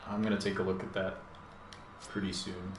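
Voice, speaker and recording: A man with a deep voice talks over his own gameplay as a channel host, thinking aloud in a relaxed, rambling way. His voice sits low, and the room around him is echoey. Steady background noise runs under everything he says. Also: normal speed. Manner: thinks aloud, laid-back, rambling with fillers. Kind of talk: casual live gameplay commentary.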